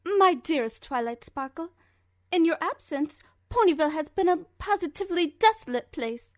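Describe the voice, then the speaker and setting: A refined cartoon lady unicorn with a high voice, recorded dry and close. She is fussy and affected, with genteel, melodramatic phrasing. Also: expressive, normal speed, dry recording. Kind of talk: cartoon character letter reading.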